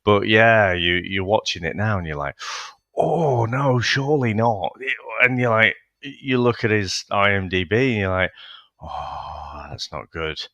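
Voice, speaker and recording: Deep, bright-toned easygoing man. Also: no room sound. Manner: easygoing, measured, laughs along. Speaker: podcast host. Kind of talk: casual film review chat.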